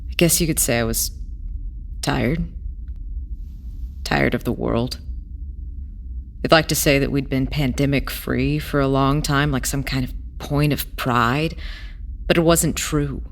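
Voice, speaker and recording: A woman playing a weary, idealistic former smuggler in an audio drama, telling their past in a hesitant, wistful way with pauses. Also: rumbling low, creaky, exaggerated voice. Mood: wistful, bitter. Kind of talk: audio drama acting, halting first-person testimony.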